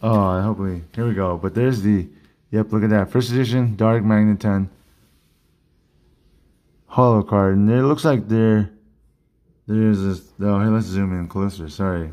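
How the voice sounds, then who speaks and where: Low-voiced man losing his voice. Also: fairly even intonation, moderate pace, pauses often, darkish tone. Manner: rambling, restarts sentences, chatty. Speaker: card collecting channel host. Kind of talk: casual unboxing vlog. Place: indoor collection room at a desk.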